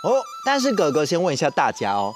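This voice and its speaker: A young man with a Taiwanese accent telling stories to children as a show host, expressive and often asking questions. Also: a little high, fast talker, soft background music. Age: young adult. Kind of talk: storytelling for children. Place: TV studio set.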